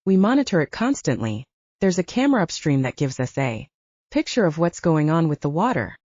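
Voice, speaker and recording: A woman's voice: deep voice, very animated, a little fast, a little mellow, no echo, muffled recording. A woman narrator voicing a protective, commanding male werewolf, an older brother, in an audiobook. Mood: reassuring, matter-of-fact.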